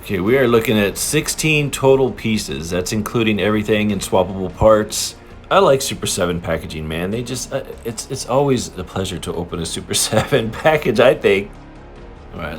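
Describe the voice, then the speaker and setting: A man with a fairly low voice that moves around in pitch a good deal. He talks like an enthusiastic collector showing off a new toy, casual and prone to giggling. Music plays behind him. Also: moderate pace. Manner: excitable, giggly fan enthusiasm. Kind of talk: casual unboxing and review chat. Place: indoors in an apartment.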